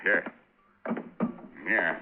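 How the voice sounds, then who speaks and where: An actor playing a boisterous, trigger-happy braggart from the old West in a 1950s radio drama, with a folksy rural American Western drawl. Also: male voice, extremely slow, over music. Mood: boastful, grumbling. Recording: tinny, narrow-band vintage radio recording.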